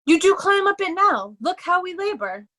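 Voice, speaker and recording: A woman's voice: very high voice, sing-song, normal speed, a bit bright, dry recording, clean studio sound, exaggerated voice. A woman playing a young nobleman in peasant disguise, urgently and vividly guiding, in an amateur Shakespeare reading. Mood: insistent. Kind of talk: amateur Shakespeare reader's theatre.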